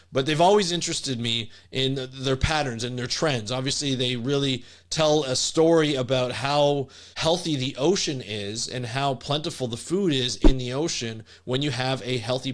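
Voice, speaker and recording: A man hosting a solo podcast, talking in an energetic, conversational way with fillers and restarts while congested from a cold. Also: mid-range voice. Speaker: podcast host.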